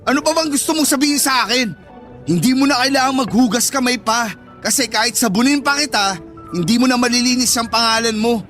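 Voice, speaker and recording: Young Filipino male, very high voice, scornful and wounded, radio drama confrontation over a music bed. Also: brisk, a bit bright. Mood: angry, hurt. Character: angry, betrayed young straight man rejecting his friend. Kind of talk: Tagalog radio drama acting.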